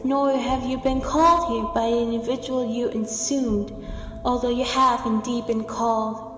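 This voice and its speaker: A woman voicing a grieving mother spirit in a game cutscene, delivering a slow, solemn monologue. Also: a little high, steady tone, fairly bright tone, close to an everyday voice. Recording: echoey hall reverb with music.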